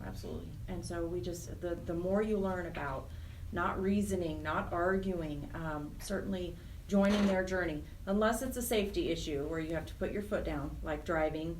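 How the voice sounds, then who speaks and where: Middle aged lady in a radio interview, lowish voice, hesitant n earnest, echoey room. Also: moderate pace. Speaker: interview guest, program director of a nonprofit. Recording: roomy, distant mic, faint noise.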